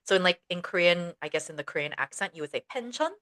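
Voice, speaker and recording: Young woman, podcast co-host, lowish pitch, animated storyteller, casual chat. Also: quick pace. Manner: animated storytelling, acts out quoted dialogue. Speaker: podcast co-host, ESL educator.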